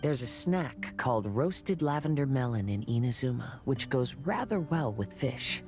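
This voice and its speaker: A man playing a gentle, polite young wanderer in a video game, soft and earnest. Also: medium pitch, sing-song, a little slow, soft background music. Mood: friendly. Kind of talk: video game cutscene acting.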